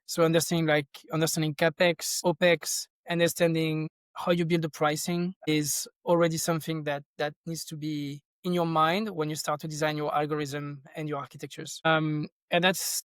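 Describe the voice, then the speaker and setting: A man's voice: on the high side, level tone, normal speed, few pauses, clean tone, dry recording. A middle-aged man from France with a French accent, talking casually and thoughtfully as a guest in an interview. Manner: thoughtful, rambling, with frequent restarts. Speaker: podcast guest, tech company founder.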